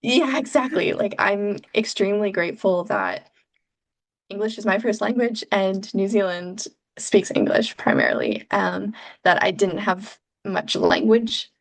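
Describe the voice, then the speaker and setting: Canadian woman with a gravelly edge. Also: medium pitch. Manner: thoughtful, measured, frequent ums. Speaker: PhD researcher, guest. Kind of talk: conversational interview answers.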